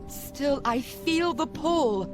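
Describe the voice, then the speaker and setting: A woman's voice: high voice, expressive, takes it slowly. An actress playing a haughty, bewildered noblewoman from an ancient age, with formal, imperious delivery for a fantasy video game. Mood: regal, insistent. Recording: game music behind the voice.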